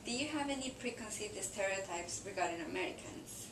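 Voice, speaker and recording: A woman's voice: medium pitch, lively intonation, a little fast, a little hiss or hum. Distant woman interviewer in echoey room. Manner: brief, polite prompts. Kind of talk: scripted interview questions.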